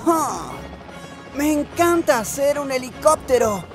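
Casting: cheerful young boy engine, Latin American accent, lively swooping pitch, unhurried, for a children's cartoon. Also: over music, exaggerated voice. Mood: determined.

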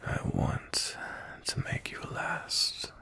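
A man playing a cold, possessive, dominant vampire knight in an intimate ASMR roleplay, with a slow, breathy, menacing murmur. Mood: seductive, menacing. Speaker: audio roleplay voice actor.

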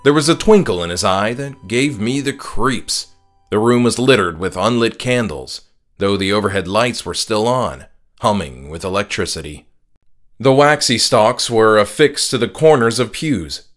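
A man narrating a scripted horror audiobook in a measured, dramatic storytelling manner. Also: fairly low pitch, animated, fairly bright tone, faint music behind. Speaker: author reading his own novella.

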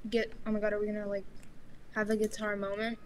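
An excitable young woman from Dubai, talking fast with laughing exclamations on a video call. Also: medium pitch, slight background noise.

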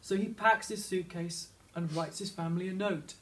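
High-pitched Englishman narrating a children's story. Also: fairly even intonation, normal speed, a bit bright, a little hiss or hum. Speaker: teacher reading a picture book aloud. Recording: slightly distant microphone.

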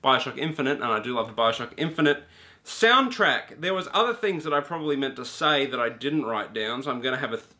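A young man giving an animated, casual spoken review to camera in a small room, full of ums and casual swearing. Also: fairly high pitch. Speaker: video game reviewer. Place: small indoor room with a brick wall and shelves.

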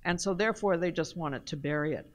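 A woman's voice: fairly low pitch, fast talker. An older woman from Canada, speaking on a panel in a large hall into a table mic, telling her story earnestly and with emphasis. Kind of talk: panel discussion talk. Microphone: table mic on a stand.

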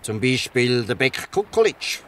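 A man's voice: medium pitch, sing-song, unhurried, a bit bright, slight background noise. An actor playing a fatherly, shrewd, bluff fisherman in a Swiss German radio play. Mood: kindly, persuasive. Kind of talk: Swiss dialect radio drama acting. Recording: slightly distant from the mic.

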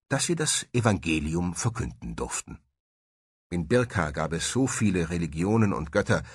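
A man's voice: lowish voice, a bit bright, husky, no echo, clean studio sound. A man delivering scripted narration for a historical documentary.

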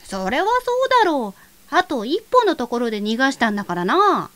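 A woman playing a small, naive, blustering nine-year-old boy wolf in an audio drama, with rough, boastful speech and flustered stammers. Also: high voice, sing-song, brisk, a bit bright. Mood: proud, boastful. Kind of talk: scripted audio drama acting.

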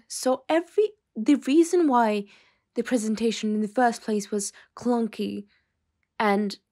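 A woman playing a stern, weary boss in an ASMR roleplay, speaking in a measured way with sighs and hesitant pauses. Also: medium pitch, darkish tone. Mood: doubtful, pensive. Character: stern, weary female mafia boss scolding an employee. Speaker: ASMR voice actor.

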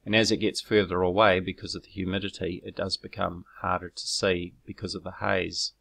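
New man, very low gravelly voice, explanatory voice-over with ums, argumentative edge. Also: flat delivery, a bit bright. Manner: measured and explanatory, with frequent ums and self-corrections; argumentative when rebutting critics. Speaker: video creator explaining his own footage. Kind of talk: narrated screen walkthrough.

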